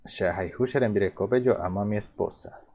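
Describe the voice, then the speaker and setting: A man from Paraguay with a Paraguayan Spanish accent and a Guarani mother tongue, teaching phrases in a relaxed, halting way with many repeats and restarts. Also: fairly low pitch, creaky. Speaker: language teacher. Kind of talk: explaining vocabulary in a video lesson.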